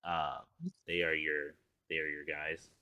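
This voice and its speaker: Very deep-voiced tired man. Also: level tone, unhurried, sharp tone, no echo. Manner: crude joking, rambling, laughs often. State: tired, low voice. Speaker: podcast co-host. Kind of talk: casual chat.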